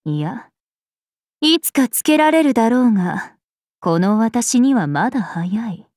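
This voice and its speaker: Casting: ageless regal game princess, haughty and composed, measured pace, slightly high voice. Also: female voice, very animated, a little mellow, dry recording, clean studio sound. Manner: imperious, archaic, composed, aloof. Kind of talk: Japanese mobile game character voice lines.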